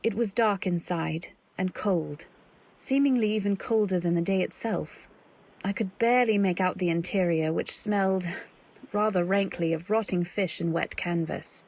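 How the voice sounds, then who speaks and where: An actress playing an anxious young wife, telling her story in measured, literary old-time radio drama style. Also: on the low side, a little mellow. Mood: pensive, uneasy.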